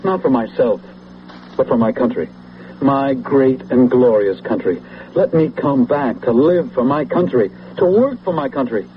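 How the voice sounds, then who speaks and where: An actor playing a zealous, scheming political leader and veteran, speaking in a grandiose, persuasive oratorical way in a vintage radio drama. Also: male voice, medium pitch, unhurried, natural voice. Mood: fervent. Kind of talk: 1970s radio drama acting. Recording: muffled, noisy old radio broadcast.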